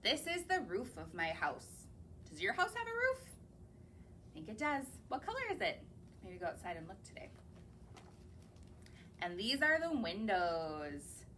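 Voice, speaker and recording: Animated sing-song woman reading to kids. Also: medium pitch, unhurried, pauses often, a little hiss or hum. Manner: sing-song, asks the listening children questions. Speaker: children's librarian. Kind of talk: reading picture books aloud to young children. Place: public library.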